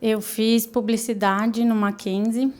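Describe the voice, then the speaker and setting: A woman's voice: on the high side, flat delivery, slow pace, darkish tone. Chatty laughing woman from São Paulo. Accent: São Paulo Brazilian Portuguese. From São Paulo, Brazil. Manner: chatty, laughs often, trailing fillers. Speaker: podcast guest, marketing professional. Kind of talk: casual interview chat.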